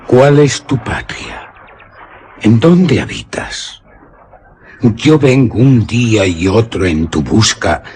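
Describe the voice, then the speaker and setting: A man's voice: lowish voice, a little mellow, slight background noise. An actor playing a lovestruck, dreamy young nobleman in a radio drama, in impassioned theatrical declamation. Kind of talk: Spanish radio drama acting.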